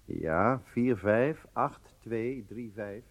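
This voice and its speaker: A man's voice: lowish voice, lively intonation, very slow, full character voice. A male actor playing a pragmatic, reflective intelligence man in a radio drama. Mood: businesslike.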